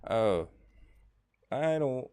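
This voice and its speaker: A man livestreaming a game in a casual, rambling way, often halting and trailing off mid-thought. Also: medium pitch, big pitch swings, dark tone, clean tone. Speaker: game streamer. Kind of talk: casual livestream chat over gameplay.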